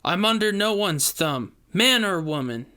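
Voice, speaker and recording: Man voicing a high, feisty, urgent heroine. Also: expressive, measured pace, pushed and bright, clear, resonant tone, heavily acted. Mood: defiant. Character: bold, defiant young woman, fiery companion of the hero.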